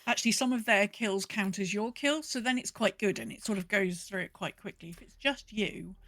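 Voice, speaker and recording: A woman's voice: mid-range voice, brisk, fairly bright tone, no room sound, studio quality. A woman co-hosting a casual gaming podcast, chatty, often voicing imagined remarks as she explains. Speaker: podcast co-host. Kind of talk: casual chat about a video game.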